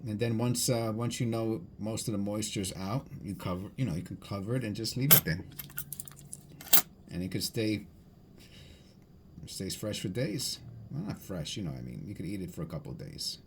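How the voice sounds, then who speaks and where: A man's voice: on the low side, lively intonation, moderate pace, a little hiss or hum. A man chatting casually and rambling as he sorts coins, musing aloud and often repeating or correcting himself. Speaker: coin hunting video host. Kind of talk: rambling casual chat while sorting coins.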